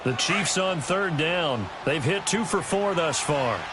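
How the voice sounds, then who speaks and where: An actor working as an American play-by-play announcer in a football video game, calling the action in a polished broadcast style. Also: male voice, fairly high pitch, animated, unhurried. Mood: steady, focused. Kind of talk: sports play-by-play commentary. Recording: game audio under loud stadium noise. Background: loud stadium ambience and game sounds.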